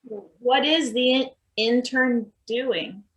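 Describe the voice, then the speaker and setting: Mild, uneasy workman played by a woman, high-ish and hesitant, echoey room. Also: drawn-out words. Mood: puzzled. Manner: hesitant, questioning. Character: hesitant, worried, mild male maintenance worker Izzy. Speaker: actor. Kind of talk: live table read of satirical play.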